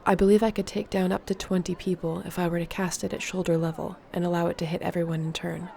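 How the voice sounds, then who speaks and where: A woman playing an earnest, determined fantasy sorceress in an intimate audio roleplay, speaking in a measured, softly formal way. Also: on the low side, little pitch movement, creaky, slight background noise. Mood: earnest, resolute.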